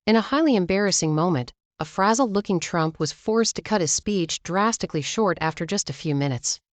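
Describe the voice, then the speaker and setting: A woman's voice: on the low side, expressive, brisk, no echo. A woman delivering scripted news narration as a channel's narrator.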